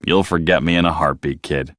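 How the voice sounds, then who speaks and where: Male reader plays gruff storybook octopus, reluctant softie, lowered pitch, understated grumble. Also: fairly even intonation, a little fast, no room sound, studio quality. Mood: gruffly fond.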